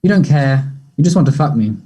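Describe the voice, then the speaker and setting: A man at a play table read, playing a conflicted, defensive young man full of guilt and distress, with a husky voice. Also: mid-range voice, expressive, fairly quick, dark tone. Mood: apologetic, defensive.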